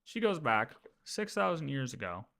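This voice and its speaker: A man with a bright, forceful voice talks like a casual game streamer walking chat through lore. He is animated and informal, repeating himself and tossing in quick check-ins as he explains. His pitch sits in an ordinary male range. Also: gravelly. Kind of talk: casual livestream lore explanation, chatting with viewers.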